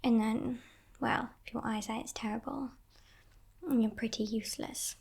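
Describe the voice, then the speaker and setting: A woman voicing a playful, menacing female demon in a breathy, teasing whisper for an ASMR roleplay. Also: a little high, fairly even intonation, full character voice. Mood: breezy, condescending. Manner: teasing, condescending, softly sinister, unhurried.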